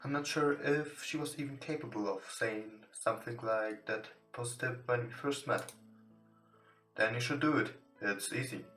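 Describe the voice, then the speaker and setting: A man who is not a native English speaker, casually commentating on a game and reading its text aloud in hesitant, halting English. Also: mid-range voice. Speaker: let's play host. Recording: roomy, distant mic with music behind. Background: soft guitar game music.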